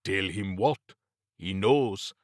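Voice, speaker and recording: Guy doing a grave learned occult expert, formal and portentous, low voice, real slow, audiobook. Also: dry recording, clean studio sound. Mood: wry. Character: grave, learned, courteous male occult scholar. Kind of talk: audiobook character dialogue.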